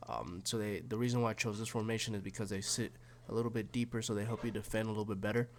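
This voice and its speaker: Sick, raspy-sounding gaming YouTuber man. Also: fairly low pitch, steady tone, fast talker, a little hiss or hum. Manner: rambling, many fillers and restarts. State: sick, slightly raspy and snuffly. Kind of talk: casual tactics walkthrough.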